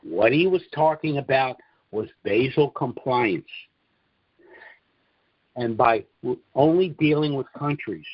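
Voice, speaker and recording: Husky man briefing on a dull-sounding call. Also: mid-range voice, no echo. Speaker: conference call host and presenter. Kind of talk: informal monologue briefing on a conference call. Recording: dull, lo-fi conference call audio.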